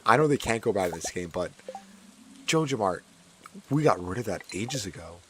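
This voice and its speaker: Hoarse man chatting over gameplay. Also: on the low side, expressive, normal speed, a little hiss or hum. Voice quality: voice cracking. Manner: chatty, repeats his own phrases. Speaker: gaming streamer. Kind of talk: casual gameplay commentary.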